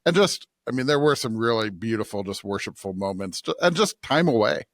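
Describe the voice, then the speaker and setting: A man's voice: lowish voice, animated, fairly quick, no room sound. Man chatting on a dry-sounding podcast. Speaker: podcast co-host and pastor. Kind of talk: casual chat.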